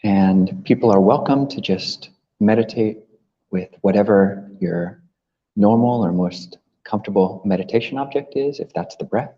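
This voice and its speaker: A man leading a guided meditation as a teacher, gently instructing with long pauses between phrases. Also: on the low side, breathy edge. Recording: muffled, long reverberant hall echo.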